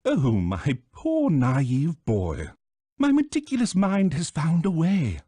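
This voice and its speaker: A man's voice: fairly high pitch, wildly swooping pitch, slow pace, dark tone, slightly rough, dry recording, full character voice. A man playing a pompous, condescending, scheming small bird villain with a British accent in a video game, speaking theatrically. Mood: gloating, lecturing.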